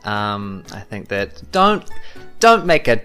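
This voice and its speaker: A man chatting casually and haltingly on a game podcast. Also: lowish voice, very animated, bright, forceful tone, faint music behind. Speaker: podcast player. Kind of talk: casual chat.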